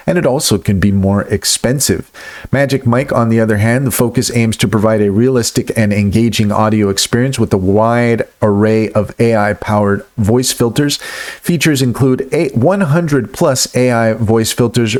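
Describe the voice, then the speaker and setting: A man hosting a casual tutorial-style review, partly reading aloud from notes as he narrates. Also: fairly low pitch. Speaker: video host and software reviewer.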